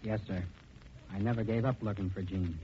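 A man's voice: on the low side, steady tone, brisk, natural voice. A man playing a loyal, earnest young chauffeur in a 1940s radio drama, plain-spoken and respectful. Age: young adult. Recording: dull, noisy 1940s radio recording.

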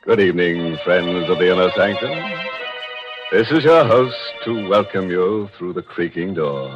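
A man hosting and narrating an old radio mystery show in a theatrical, tongue-in-cheek macabre manner. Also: lowish voice. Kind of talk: 1940s radio horror hosting, scripted narration. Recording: dull lo-fi 1940s radio recording. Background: music.

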